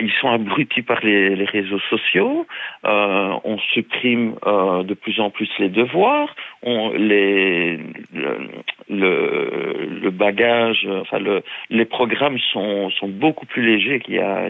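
Man in his forties, low gravelly voice, heated, stammering, phone-line sound. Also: big pitch swings, slow, no echo. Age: 40s. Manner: heated, stumbling, repeats words. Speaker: caller. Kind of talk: radio phone-in debate. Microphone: telephone.

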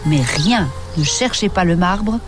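A woman reading scripted documentary narration in a measured, even way. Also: fairly low pitch, soft background music. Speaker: radio narrator.

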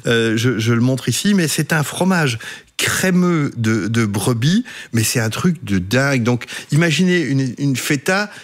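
A man's voice: mid-range voice, animated, measured pace. A man with a Belgian French accent chatting casually and keenly as a food expert on the radio, often hesitating and repeating words. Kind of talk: casual, enthusiastic radio chat.

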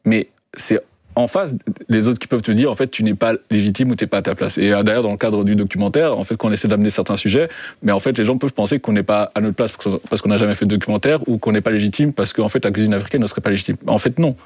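Young guy, kinda low voice, lots of euh and restarts, dry close sound. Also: fast, slightly rough, no echo. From Belgium. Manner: hesitant, many fillers and restarts, laughs softly. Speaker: guest, documentary co-director. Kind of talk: radio interview, personal story.

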